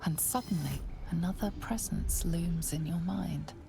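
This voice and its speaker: A woman playing a grave, ominous narrator of a fantasy video game, deliberate in delivery. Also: lowish voice, creaky, noisy background. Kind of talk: scripted dramatic game narration. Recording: music behind the voice.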